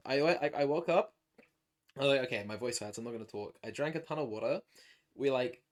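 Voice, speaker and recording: A man's voice: medium pitch, fairly quick. A man chatting casually as an esports commentator, telling stories in slangy, informal language. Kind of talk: casual chat about commentating.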